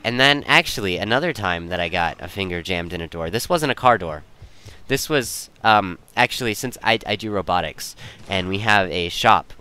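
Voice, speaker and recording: A man's voice: low-pitched, expressive, moderate pace, bright, forceful tone, clean tone. A young man telling personal stories in a casual, rambling way, often interrupting himself to second-guess his wording. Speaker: storyteller.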